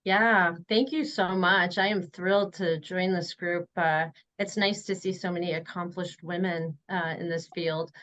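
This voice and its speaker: A woman's voice: fairly low pitch, steady tone, measured pace, few pauses, a bit bright. A middle-aged woman, a panelist giving a professional introduction of herself.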